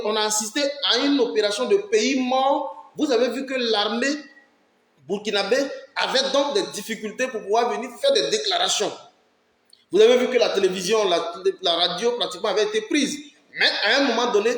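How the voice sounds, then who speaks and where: A man delivers fiery political commentary in a fairly high voice. He hammers his points with rhetorical questions and keeps urging his audience to listen closely. The room around him rings with a noticeable echo. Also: a bit bright. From Ivory Coast. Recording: roomy echo.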